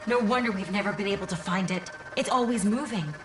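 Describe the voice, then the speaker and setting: A woman's voice: mid-range voice, brisk, natural voice. A woman playing a bold, inquisitive field operative in video game dialogue. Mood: intrigued, determined. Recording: echoey, noisy game ambience.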